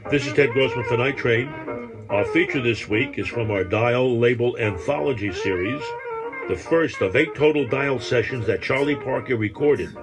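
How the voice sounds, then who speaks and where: Guy doing a radio show promo, guitar music clearly playing behind him. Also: mid-range voice, normal speed. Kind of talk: scripted promo read.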